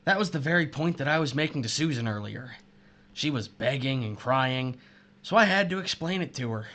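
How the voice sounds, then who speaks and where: A man acts out a wronged husband who has turned murderer in a radio-style drama. His voice is bright and forceful at an ordinary male pitch. He taunts with sardonic, deliberate menace. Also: expressive. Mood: menacing, taunting. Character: betrayed, vengeful husband turned sadistic murderer, missile silo worker. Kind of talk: audio drama acting.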